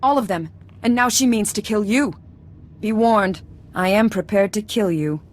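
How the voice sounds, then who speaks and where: A woman's voice: mid-range voice, normal speed, close to an everyday voice. An actress playing a cold, haughty noblewoman villain in a video game, threatening and fanatical. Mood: cold menace. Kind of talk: dramatic video game acting.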